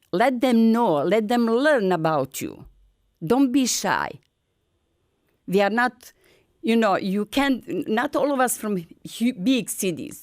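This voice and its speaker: An older woman from Bosnia with a Bosnian accent, telling her story in halting, broken English in an earnest, encouraging way. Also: mid-range voice, no room sound, clean studio sound. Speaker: featured artist. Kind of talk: personal storytelling.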